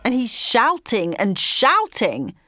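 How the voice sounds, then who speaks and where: A woman's voice: a little high, huge pitch swings, slow pace, pushed and bright, heavily acted. An actress playing a weary mother and protest organiser with an English accent, narrating confidingly in first person for an audio drama. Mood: troubled. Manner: confiding, present-tense storytelling.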